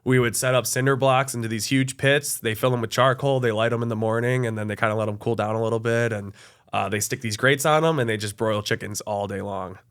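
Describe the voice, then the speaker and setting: A young man from Michigan chats easily as an interview guest. His voice sits at a middling pitch, and his speech is relaxed and friendly, full of "uh"s and small restarts as he explains things. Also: fairly quick, clean tone. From Michigan, USA. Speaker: college access adviser, guest. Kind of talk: casual interview conversation.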